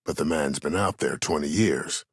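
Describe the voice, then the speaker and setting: Imperious, calculating older male commander for a video game: extremely deep, rough-edged, coldly measured and formal. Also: no echo, studio quality, exaggerated voice. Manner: measured, formal, deliberate, menacing. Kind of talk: video game character dialogue.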